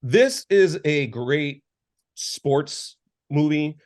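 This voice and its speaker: A man's voice: a little high, expressive, extremely slow, a little mellow. A man co-hosting a podcast, giving a casual, rambling film review with lots of restarts and filler words. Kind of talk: casual chat.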